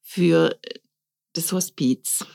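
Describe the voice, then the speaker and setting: A woman's voice: low-pitched, very slow, gravelly, warm tone. Woman in her fifties, podcast guest, explains stuff step by step, fixes herself a lot. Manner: explains step by step with self-corrections and fillers. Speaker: guest, social pedagogue. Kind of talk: podcast interview.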